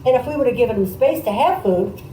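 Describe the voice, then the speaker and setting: A woman teacher reading aloud to children, pausing to explain and ask questions in a conversational way. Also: a little high, expressive, warm tone. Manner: explanatory, prompting listeners with questions. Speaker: teacher demonstrating a read-aloud. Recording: roomy, distant mic, noisy room.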